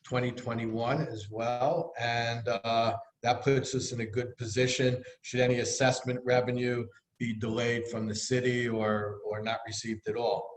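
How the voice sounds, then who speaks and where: A man with a Bronx accent reads figures from a budget report on a video call. He stumbles, corrects himself and fills pauses as he goes. His voice comes through with a noticeable room echo. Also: mid-range voice, little pitch movement. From New York. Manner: hesitant, many fillers and self-corrections. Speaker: board treasurer giving a finance report. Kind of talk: virtual meeting report.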